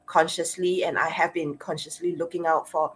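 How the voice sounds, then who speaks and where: A woman's voice: low-pitched, fairly even intonation, moderate pace, a little hiss or hum, rough recording. A woman with a Singaporean accent hosting an interview as a sexologist, speaking with frequent uhs and repeated words. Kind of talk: interview host.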